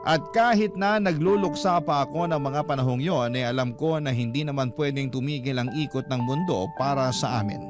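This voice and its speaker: A Filipino man reading a scripted first-person love story in the style of a radio narrator. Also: a little high. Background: loud instrumental music.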